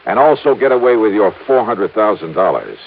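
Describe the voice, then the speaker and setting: An actor playing a brisk, wry, hard-boiled American insurance investigator, narrating and talking in clipped, punchy radio-drama style. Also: male voice, fairly low pitch, big pitch swings, husky, heavily acted. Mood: pressing. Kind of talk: 1950s American radio drama acting, first-person narration. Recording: tinny vintage radio recording, music behind.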